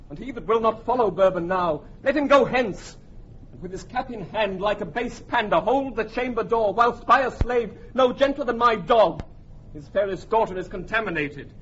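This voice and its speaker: An actor playing a proud, bitter French duke, declaiming vehemently and scornfully in classical Shakespearean radio style. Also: male voice, high-pitched, a bit bright. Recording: dull, noisy archival radio drama recording.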